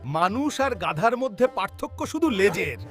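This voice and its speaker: A man voicing a witty, cheeky court jester in a children's cartoon, with a sly, theatrical, deliberate delivery. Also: high voice, very animated. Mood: sly, teasing. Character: witty, cheeky male court jester flattering and teasing a king. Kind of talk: children's cartoon folk-tale voice acting. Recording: loud music behind the voice. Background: loud music.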